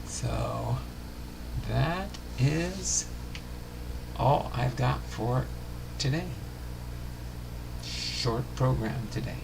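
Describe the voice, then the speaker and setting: Gravelly man chatting on a noisy livestream. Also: medium pitch, expressive, drawn-out words, long pauses. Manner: rambling, thinking aloud. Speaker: livestream host of a baseball card channel. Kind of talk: casual livestream chat.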